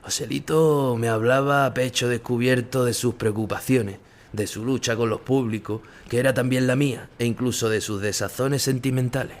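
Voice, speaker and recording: A male actor with a Spanish accent playing an ageing, reflective, melancholy bullfighter, narrating memories in a measured, intimate way. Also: mid-range voice, fairly even intonation, close to an everyday voice. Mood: wistful. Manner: measured, solemn, intimate storytelling. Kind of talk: first-person literary narration in an audio drama.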